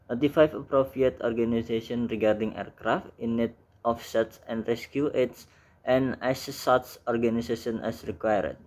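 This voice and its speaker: A man's voice: medium pitch, fairly even intonation, measured pace, a little mellow. A man with an Indonesian accent giving an informal explainer lesson, reading out definitions and mixing in English technical terms as he addresses viewers as friends. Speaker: air traffic controller, YouTube presenter.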